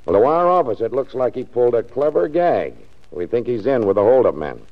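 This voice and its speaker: An actor playing a brisk, skeptical, emphatic district attorney in a 1940s radio drama. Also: male voice, mid-range voice, big pitch swings. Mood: matter-of-fact. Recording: muffled 1940s radio recording.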